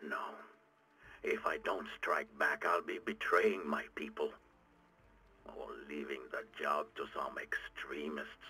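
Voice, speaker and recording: An actor playing a weary, driven middle-aged revolutionary leader in a video game audio log, with a breathy, gritty half-whisper and halting, brooding delivery. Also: male voice, a bass voice, steady tone, sharp tone, heavily acted, poor audio. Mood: troubled, resolute. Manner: brooding, deliberate, halting monologue.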